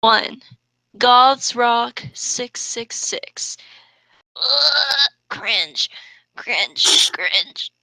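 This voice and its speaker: A woman with a bright, highish voice reads aloud for comedy. Her delivery is slow and deliberate, halting now and then for mocking asides. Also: lively intonation, creaky, no echo, low-quality recording. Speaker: co-host reading and mocking fan fiction. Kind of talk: casual comedic reading aloud.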